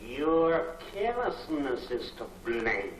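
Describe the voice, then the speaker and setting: An old 1940s serial soundtrack, roomy and distant, carries an imperious villain. He speaks very slowly and theatrically, handing out cold, menacing commands like the boss of a criminal gang. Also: medium pitch, big pitch swings, slight background noise. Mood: stern, commanding. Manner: commanding, deliberate, theatrical delivery. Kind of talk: 1940s movie serial acting.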